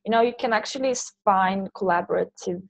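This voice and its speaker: A woman's voice: mid-range voice, a little fast, vocal fry, no echo. A woman from Sweden, speaking loosely phrased second-language English as a guest in a casual interview. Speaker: interview guest, online language teacher and entrepreneur.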